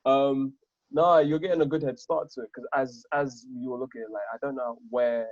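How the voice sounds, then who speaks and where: A man's voice: medium pitch, fairly even intonation, moderate pace, a little mellow, no room sound, lo-fi sound. A man in his twenties co-hosting a podcast, asking rambling questions in a playful, casual way. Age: 20s. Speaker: podcast co-host.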